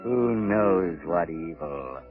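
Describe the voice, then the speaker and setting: An actor playing a sinister, mocking invisible avenger in a 1930s radio drama, with an ominous, drawn-out delivery. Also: male voice, sits low, animated. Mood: menacing, mocking. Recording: dull old radio recording with music.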